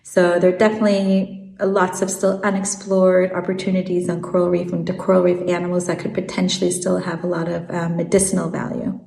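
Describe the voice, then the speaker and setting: A woman in her thirties with an American accent, a marine biologist explaining things in an interview, measured and full of "um" fillers. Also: on the low side, level tone, vocal fry, a little mellow, roomy sound. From Swiss South African.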